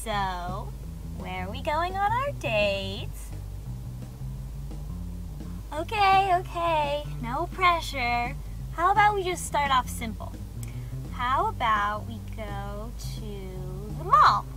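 Young woman, sweet n wistful, smitten, high slow voice, game scene with music. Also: very animated, full character voice, poor audio. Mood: bubbly, nervous. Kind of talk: live-action game cutscene acting.

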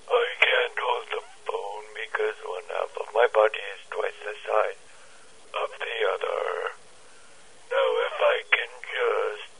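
A man playing a flustered, bumbling oaf on a parody answering-machine greeting, halting and exclamatory. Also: lowish voice, wildly swooping pitch, vocal fry, a bit bright, breathy edge, heavily acted, poor audio. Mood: pained.

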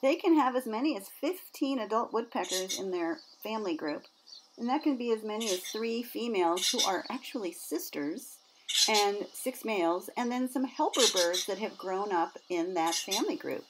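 A middle-aged woman narrates an educational piece for young children. She has a medium-pitched voice and explains things in simple, clear wording. Now and then she puts a question to her young listeners. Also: expressive, moderate pace, noisy background. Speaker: nature educator and presenter.

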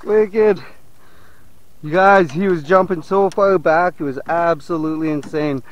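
Male fishing vlogger, slightly higher voice, chatty and excitable, recorded outdoors on a lake on a small camera. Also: measured pace, dry recording, dull audio. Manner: excitable, talks to viewers as "you guys". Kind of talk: casual on-water fishing commentary.

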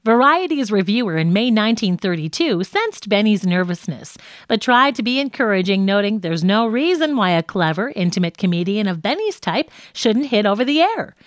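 A woman narrating a scripted nonfiction audiobook in a measured, articulate way. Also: medium pitch, big pitch swings, dry recording, studio quality.

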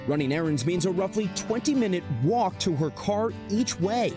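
Lively young man reporting the news. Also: sits high, normal speed, over music. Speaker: news correspondent. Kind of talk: scripted news narration and on-location reporting.

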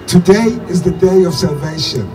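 A man with a high voice preaches earnestly through an outdoor loudspeaker, heard from far off across a square. Music plays behind him. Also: expressive. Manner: earnest, exhorting, pauses for interpreter. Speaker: street preacher. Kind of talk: outdoor street preaching in English.

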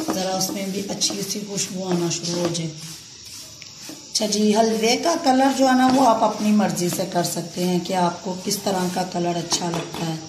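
A woman hosting a home cooking demonstration, chatty and full of fillers, recorded on a phone over the stove in a home kitchen. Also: medium pitch. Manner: chatty, repeats fillers often. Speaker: cooking channel host. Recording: echoey kitchen with background noise.

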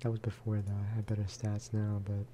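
A man speaking softly in a low-key, rambling ASMR style as he chats over gameplay. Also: completely flat delivery, brisk, slight background noise. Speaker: gaming video creator. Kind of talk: soft-spoken ASMR gameplay chat.

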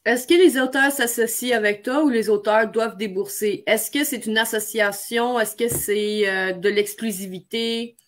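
A woman's voice: a little high, normal speed, few pauses. A woman with a Quebec French accent hosting a casual live video-call interview, asking informal, rambling questions. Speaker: live show host, interviewer. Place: indoor room, on a video call. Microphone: webcam video call.

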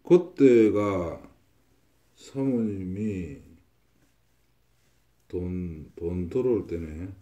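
A man's voice: deep voice, extremely slow, long pauses, mellow. A man giving a fortune-telling consultation, stating predictions confidently and repeating himself. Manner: confident, repetitive pronouncements. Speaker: fortune teller.

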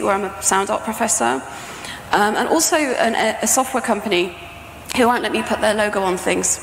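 A woman's voice: medium pitch, vocal fry. A middle-aged woman with a British accent giving an informal, chatty conference talk on stage. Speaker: composer and sound art professor presenting. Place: stage in a large presentation tent or hall. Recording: echoey hall with background noise.

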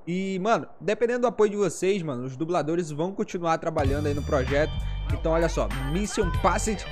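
High-voiced young Brazilian man doing gameplay commentary. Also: expressive, brisk, over music. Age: 20s. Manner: slangy, chummy address to viewers. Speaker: gaming channel host.

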